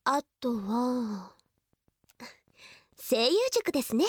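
A woman playing a glamorous female fashion model giving measured, posed interview answers in a scripted radio ad. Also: on the high side, lively intonation, husky, no room sound, clean studio sound, heavily acted. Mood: poised, dreamy. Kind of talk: scripted radio advertisement acting.

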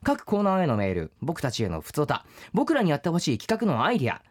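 A man's voice: on the high side, huge pitch swings, fast. A man co-hosting a radio show with playful, casual banter and listener announcements. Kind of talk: casual two-host radio chat with announcements.

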